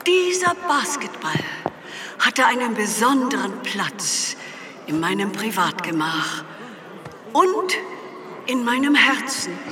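High-voiced, stately female president. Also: very animated, slow, long pauses. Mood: solemn, stirring. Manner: stately, emphatic, pathos-laden oratory. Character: solemn female president of an alien planet, rallying her citizens. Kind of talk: comedic sci-fi audio drama acting. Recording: long echoing reverb, clearly noisy ambience behind.

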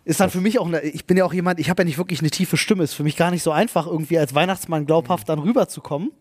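A man's voice: a little high, racing. A man telling family anecdotes in casual, colloquial chat, often acting out quotes.

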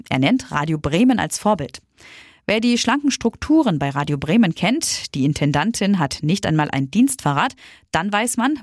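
A woman presenting news stories in a scripted, lively news-reading style. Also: lowish voice, dry recording, clean studio sound.

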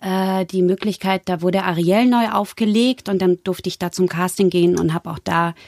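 A Swedish-raised woman with a gravelly edge to her voice talks in a casual interview, telling lively anecdotes and slipping into mimicry. The sound is close and dry, with no room echo. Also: lowish voice, moderate pace. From Sweden. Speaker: voice actress, guest.

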